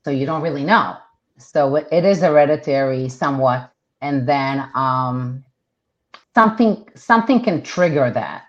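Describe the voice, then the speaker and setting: Israeli-born lady, hebrew first language, really low voice, rambly with lots of ums. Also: lively intonation, unhurried. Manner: chatty, many fillers and restarts. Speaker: realtor guest. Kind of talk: casual interview talk. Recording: dull lo-fi sound.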